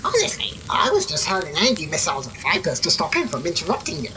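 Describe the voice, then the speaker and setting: A woman's voice: fairly low pitch, huge pitch swings, quick pace, noisy background. A woman voicing a cocky, taunting magic-slinging heroine in a fantasy audio drama. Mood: flippant. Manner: flippant, taunting.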